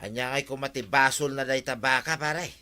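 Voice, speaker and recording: A stubborn village farmer played by a man with an Ilocano accent. His voice is very bright and piercing, at a middle pitch, and he argues back defensively in exclamations, as in a radio comedy. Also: steady tone, brisk, lightly acted. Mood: puzzled, protesting. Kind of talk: broadcast radio comedy drama acting.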